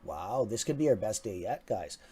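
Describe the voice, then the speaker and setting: A man with a raspy voice hosting a live stock market stream, talking casually and excitably to his viewers. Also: lowish voice, a little hiss or hum. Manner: excitable, swears, talks to chat as "guys". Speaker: livestream stock trading host.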